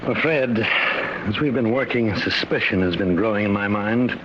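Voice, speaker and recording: An actor playing a worried, fatherly professor, speaking in a measured, earnest manner in 1950s radio drama style. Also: fairly low pitch, natural voice. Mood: hesitant, concerned. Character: grave, worried professor inventing time travel, fatherly. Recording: dull, noisy old radio recording.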